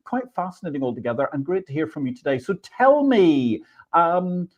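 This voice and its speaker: A man's voice: on the high side, big pitch swings, normal speed, lo-fi sound. A man hosting an interview show, reading an introduction and asking questions. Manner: enthusiastic, effusive praise. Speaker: podcast host. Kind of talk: interview host.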